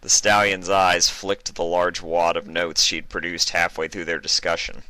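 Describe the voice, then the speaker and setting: A man's voice: low-pitched, level tone, moderate pace, sharp tone, clear, resonant tone, dry recording, poor audio. A man reading a story aloud as a scripted narration. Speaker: narrator of fan fiction. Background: music.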